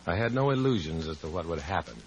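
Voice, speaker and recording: A wary undercover man with a very deep voice. He talks in clipped, sardonic lines, in the manner of a vintage radio spy thriller. The old recording is clearly noisy. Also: animated, quick pace. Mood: grim narration. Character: wary, hard-boiled undercover agent posing as a comrade. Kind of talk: old-time radio spy drama acting.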